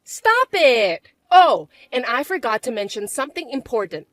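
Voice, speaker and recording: A woman voicing a spoiled young stepsister who taunts and bosses in a shrill, mocking way. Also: sing-song, moderate pace, no room sound. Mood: taunting.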